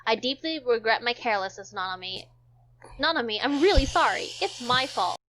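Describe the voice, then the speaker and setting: A woman's voice: fairly high pitch, animated, brisk, fairly bright tone. A woman streamer reading a visual novel aloud, narrating dramatically and chatting casually. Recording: dull, muffled lo-fi audio.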